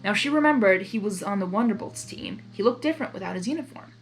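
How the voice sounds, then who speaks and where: A woman reading fan fiction aloud in an expressive, giggly way, narrating and reacting as she goes. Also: medium pitch, quick pace, soft background music, poor audio.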